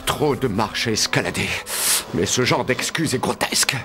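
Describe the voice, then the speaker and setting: An actor playing a cheerful, naive young soldier in an animated fairy tale, expressive and full of exclamations. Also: male voice, medium pitch, moderate pace, very noisy, close to an everyday voice. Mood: dejected, grumbling. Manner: expressive, exclamatory, talking to himself. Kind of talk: French-language animated fairy-tale dub.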